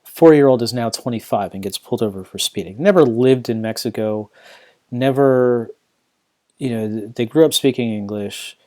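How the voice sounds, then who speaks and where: A man's voice: medium pitch, moderate pace, a little mellow. Rambling man hosting a podcast. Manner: rambling, many restarts and "you know" fillers. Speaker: podcast host. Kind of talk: casual solo podcast commentary.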